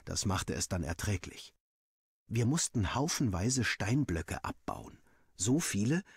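Wry, deep-voiced young villager diarist, played by a man. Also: husky, no room sound, studio quality. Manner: wry, dramatic, self-mocking storytelling. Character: young male student villager narrating his school diary. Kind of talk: scripted diary narration over game footage.

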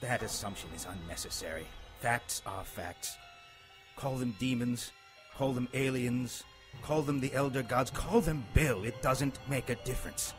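A man's voice: mid-range voice, a little slow, over music, close to an everyday voice. A man playing a dying, bitter, pious monk in a radio play, stern and fatalistic. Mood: grave, recounting. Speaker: radio drama actor. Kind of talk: dramatic radio play storytelling.

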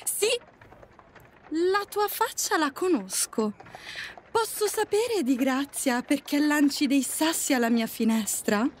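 Audio drama young woman, played by an actress, defiant and spirited, very high voice, unhurried delivery. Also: expressive, a little hiss or hum. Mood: indignant. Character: spirited, defiant young daughter of a strict father.

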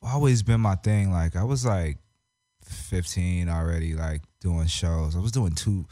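Young man from Japan, very low voice, dark mellow tone, relaxed slangy talk, dry studio mic. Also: no room sound. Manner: laid-back, slangy, drawn-out phrases. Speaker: rapper and artist guest. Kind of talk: casual interview chat. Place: radio studio. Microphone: studio mic on boom arm.

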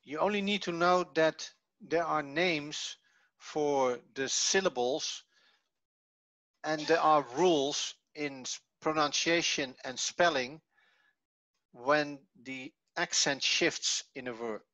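Bright-voiced man teaching slowly. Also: on the high side, no room sound. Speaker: Hebrew teacher. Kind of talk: online lesson, reading and explaining a textbook. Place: online video call.

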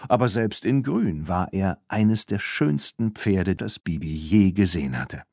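A man reading a children's audiobook as narrator, in an expressive storytelling style. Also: sits low, a little slow, gravelly, dry recording, clean studio sound.

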